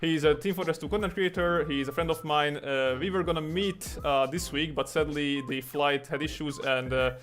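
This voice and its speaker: A man's voice: fairly high pitch, fairly even intonation, moderate pace, hardly any pauses, fairly bright tone, soft background music. A young man with a Hungarian accent, hosting a casual livestream and rambling with fillers and restarts. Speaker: streamer and artist.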